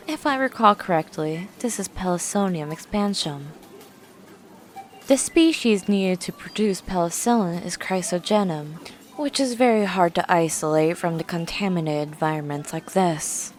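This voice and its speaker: A woman's voice: on the low side, a little mellow, slight background noise, natural voice. A woman voicing a grumpy, obsessive young horse-girl scientist in an ASMR roleplay, talking in a precise, scholarly, bossy way.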